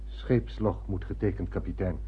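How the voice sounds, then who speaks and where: Cautious first officer: husky, formal, pleading with restraint, radio drama, narrow phone-like recording. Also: male voice, low voice, steady tone. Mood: dutiful, reserved. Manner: formal, earnest, measured. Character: sober, dutiful male ship's officer, cautious and pleading. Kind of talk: radio play acting. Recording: thin muffled phone-like radio play recording.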